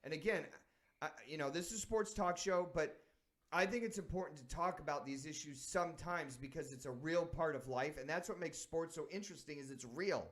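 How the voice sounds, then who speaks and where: A man from San Diego who hosts a sports talk show, speaking with a slightly higher voice. He reflects earnestly and thinks out loud, restarting his sentences as he goes. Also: brisk. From San Diego, United States.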